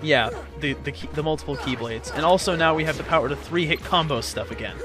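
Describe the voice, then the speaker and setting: A man's voice: a little high, quick pace. A man giving casual, slangy, playfully sarcastic gameplay commentary. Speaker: let's play host playing the game. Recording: game music behind the voice. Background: video game music.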